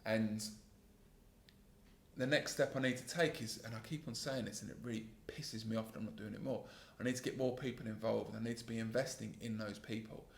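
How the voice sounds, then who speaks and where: A man in his thirties hosting a solo podcast, talking in a calm, earnest, self-critical way about his work as a sales trainer, with a gravelly voice. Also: fairly low pitch, a little fast, reverberant. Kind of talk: solo reflective podcast monologue.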